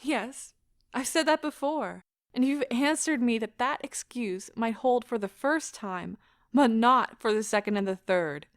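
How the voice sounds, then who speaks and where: A woman playing an anguished, proud wife in an audio drama, speaking with deliberate emotional intensity. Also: on the high side, dry recording, studio quality. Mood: confessing, intense. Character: anguished, proud wife pleading as her marriage ends.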